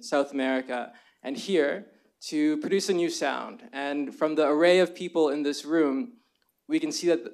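Slightly hoarse man moderating a panel. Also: a little high. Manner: structured, explanatory, with fillers like "kind of". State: slightly hoarse from singing the night before. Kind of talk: moderating a live panel discussion. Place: lecture room with a live audience.

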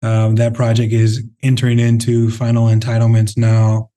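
A man talking through interview answers in a warm, mellow voice that stays fairly level in pitch. He speaks thoughtfully, pausing often with fillers and restarting phrases. He comes across as a company head explaining his work. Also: monotone. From Minnesota, Twin Cities. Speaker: real estate CEO, interview guest.

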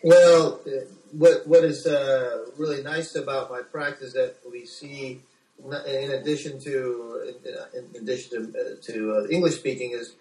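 American man, halting speech, echoey and distant. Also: mid-range voice, steady tone, dark tone. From United States. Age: 40s. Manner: halting, hesitant, repeats words. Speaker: doctor being interviewed. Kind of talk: informal interview answers. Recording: echoey room, distant from microphone.

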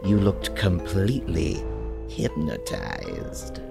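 A man's voice: low-pitched, wildly swooping pitch, slow pace, exaggerated voice. A man playing a sly, creepy bookkeeper who hosts horror tales with insinuating theatrical delivery. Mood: sly, teasing. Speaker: horror series host. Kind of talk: theatrical audio drama acting. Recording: music clearly behind the voice.

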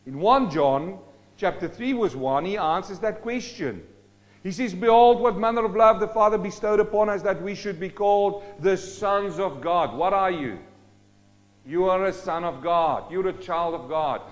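A man from South Africa preaching a sermon, swinging between loud rising questions and low, slow emphatic drops. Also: highs cut off. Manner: dynamic, rising and dropping sharply for emphasis.

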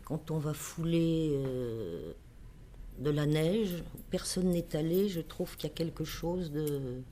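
A woman talks in a personal, reflective way, unscripted. Her tone is dark and soft-edged, and she searches hesitantly for how to put things. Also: sits low, lively intonation, takes it slowly, slight background noise.

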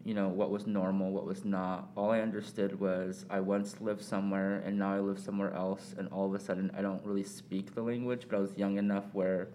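Low, flat-voiced Korean-born man. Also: slight background noise. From South Korea. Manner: thoughtful, measured, many pauses and fillers. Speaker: podcast guest. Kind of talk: casual reflective conversation.

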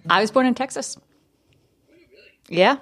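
A woman's voice: on the high side, animated, a little slow, fairly bright tone, faint music behind. A woman in her thirties from New England, a podcast guest telling her life story in casual, chatty talk, laughing easily.

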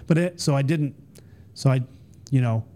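A man's voice: mid-range voice, moderate pace, warm tone. A man in his fifties, a guest on a podcast, telling stories casually and rambling with frequent restarts and tangents. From Texas, US. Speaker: podcast guest, Army veteran. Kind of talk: casual conversational storytelling.

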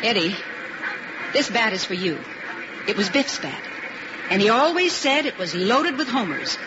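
Woman as sincere, plain-spoken widow, expressive pitch, vintage radio drama, dull noisy sound. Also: a little slow, natural voice. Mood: sincere, tender. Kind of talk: 1950s radio drama acting. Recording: muffled noisy old radio broadcast.

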